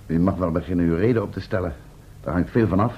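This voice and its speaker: An actor playing a level-headed, sceptical professor's companion in a radio drama, speaking politely and reasonably. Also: male voice, low voice, fast, breathy edge. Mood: dry, sceptical. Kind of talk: Dutch radio drama acting. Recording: muffled old radio recording.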